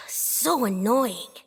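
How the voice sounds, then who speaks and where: Whiny, high-voiced young boy. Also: full character voice. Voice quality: boyish. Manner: whiny, grumbling. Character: young boy patient, whiny, reluctant and easily cowed. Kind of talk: video game voice acting.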